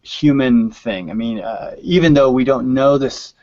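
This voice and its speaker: A man's voice: mid-range voice, unhurried, warm tone, no echo, highs cut off. A man speaking as a podcast guest, explaining writing craft conversationally with hypothetical examples and frequent check-ins.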